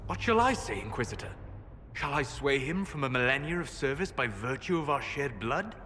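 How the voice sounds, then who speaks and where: Wry elf advisor, long reverb. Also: male voice, mid-range voice, big pitch swings, moderate pace, bright, forceful tone, a little hiss or hum. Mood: wry, pointed. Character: learned male elf advisor, wry, reserved. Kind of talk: fantasy game dialogue acting.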